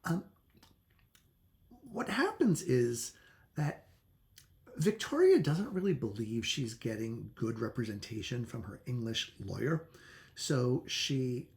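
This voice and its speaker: A man reviewing a book in a casual, unscripted way, halting and often correcting himself as he talks conversationally. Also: medium pitch, sing-song, gravelly, darkish tone.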